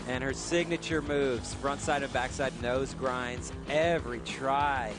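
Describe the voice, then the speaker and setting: A man's voice: mid-range voice, fairly even intonation, measured pace. A man co-commentating a live skateboarding event, chatty and asking joking questions. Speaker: sports commentator. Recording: music loud behind.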